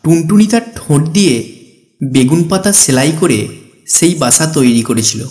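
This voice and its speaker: Casting: male storyteller for a children's cartoon, fairly high voice, scripted narration over faint background music. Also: lively intonation, measured pace, a little mellow.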